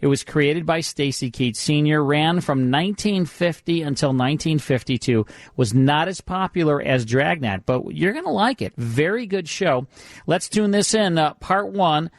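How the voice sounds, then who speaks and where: A man's voice: fairly high pitch, lively intonation, moderate pace, dry recording, studio quality. A man co-hosting a live radio show in a casual, conversational style. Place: radio studio. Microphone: close studio microphone.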